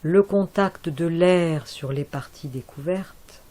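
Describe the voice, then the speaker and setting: A woman guiding a yoga nidra relaxation in a soft, calm voice with long pauses. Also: low voice. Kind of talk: guided relaxation.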